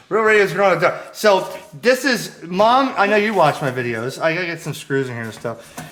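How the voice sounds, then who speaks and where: A man hosting a casual hobby radio vlog, chatting to camera in an excitable way and often repeating himself. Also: sits high, moderate pace. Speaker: ham radio vlogger, host.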